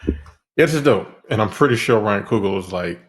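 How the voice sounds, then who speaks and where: A man with a lowish voice that swings up and down a great deal as he talks. He co-hosts a podcast in loose chat, laughing a lot and swearing freely. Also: normal speed. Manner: animated, laughing, profane. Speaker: podcast co-host. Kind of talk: casual chat.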